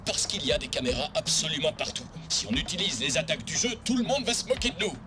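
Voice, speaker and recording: A whiny dramatic young robot hero for a cartoon, really high and animated. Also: male voice, fast. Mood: grumbling. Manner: dramatic, complaining. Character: excitable young male robot hero, easily embarrassed. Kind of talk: French cartoon dub. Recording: loud music behind the voice.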